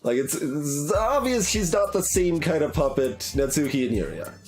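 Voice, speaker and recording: A man's voice: fairly high pitch, very animated, normal speed, darkish tone, faint music behind. A man streaming a game playthrough, talking casually to viewers in a hesitant, rambling way as he thinks aloud.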